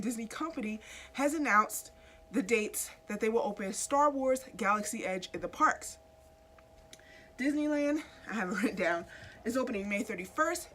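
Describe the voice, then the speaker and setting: A woman's voice: on the high side, a bit bright, slight background noise. A woman in her thirties hosting a casual comic book news chat, a little stuffy from a cold, talking straight to her viewers and asking them questions. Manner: chatty, asks viewers questions directly. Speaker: YouTube host.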